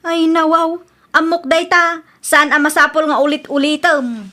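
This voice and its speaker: Woman playing a brash, high, bright Ilocano housewife. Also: steady tone, unhurried. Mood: defensive. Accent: Ilocano Filipino. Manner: defensive, dismissive. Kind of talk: radio comedy drama acting.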